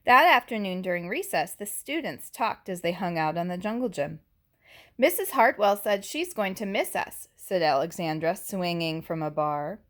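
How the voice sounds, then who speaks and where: A woman teacher reading a picture book aloud to young children, deliberately and expressively, with explanatory asides and questions. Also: fairly low pitch, expressive, a bit bright. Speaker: elementary school teacher reading to her class.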